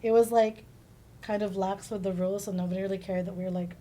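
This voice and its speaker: A woman's voice: medium pitch, fairly even intonation, fast, dark tone. A woman from the Philippines chatting informally as she answers questions as a guest on a casual podcast.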